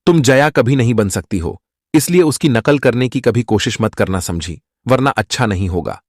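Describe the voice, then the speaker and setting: A serious young fiancé from a cartoon, voiced by a man. His pitch is average and his manner formal and guarded. The sound is very dry. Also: expressive, moderate pace, dry recording, close to an everyday voice. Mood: cold warning. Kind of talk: Hindi cartoon story acting.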